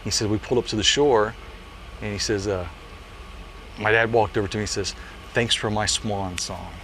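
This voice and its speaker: A man's voice: lowish voice, fairly even intonation, normal speed, fairly bright tone, a little hiss or hum. A man in his late forties, a parachute jumpmaster, telling stories in a casual interview with an earnest manner and many "you know" fillers. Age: late 40s.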